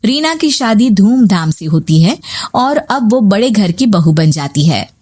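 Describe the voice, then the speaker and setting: A woman's voice: mid-range voice, big pitch swings, unhurried, mellow, breathy edge. A woman reading scripted narration for a moral family story.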